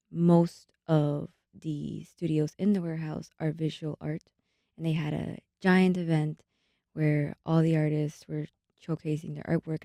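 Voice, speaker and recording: Low, mellow-voiced young woman. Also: creaky, no room sound. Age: young adult. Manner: hesitant, earnest, with fillers and restarts. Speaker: storyteller and massage studio owner. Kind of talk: casual interview chat. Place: radio studio.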